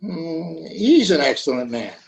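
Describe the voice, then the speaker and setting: A man's voice: on the high side, sing-song, a little slow, gravelly, darkish tone, echoey room, heavily acted. A man playing a mild, fussy old shopkeeper, hesitant and evasively polite, in an old-time radio comedy, recorded over a video call. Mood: noncommittal.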